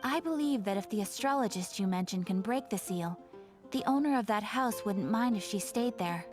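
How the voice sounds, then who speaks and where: A woman playing a composed, courteous female guild receptionist in an anime-style game, measured and formal. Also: mid-range voice, animated, over music, natural voice. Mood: composed, helpful.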